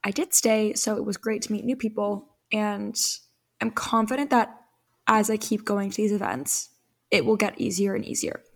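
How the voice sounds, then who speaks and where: A young woman talks through her advice in a solo podcast, friendly and earnest. Her voice has a lot of vocal fry and sounds a bit worn and hoarse. She sits at a middle pitch and keeps an easy, conversational flow. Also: normal speed. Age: young adult. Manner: chatty, earnest, encouraging. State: voice fading, slightly hoarse. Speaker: podcast host.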